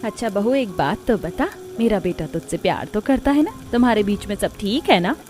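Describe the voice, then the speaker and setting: A woman's voice: mid-range voice, lively intonation, brisk, darkish tone, lightly acted. A woman voicing a caring, middle-aged mother-in-law who asks concerned questions in a cartoon story, with music behind. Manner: kindly, probing.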